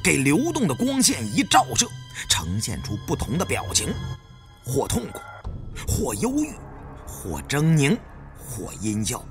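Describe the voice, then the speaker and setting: A man's voice: mid-range voice, wildly swooping pitch, unhurried. A man narrating a first-person adventure audiobook in a dramatic, suspenseful, theatrical manner. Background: faint background music.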